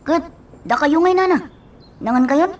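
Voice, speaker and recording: A woman's voice: sits high, unhurried, a little hiss or hum. An actress playing a grieving, vengeful young woman in an emotional Ilocano radio drama. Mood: concerned, everyday. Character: grieving, vengeful young woman longing for her lost friend. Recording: loud music bed under the voice.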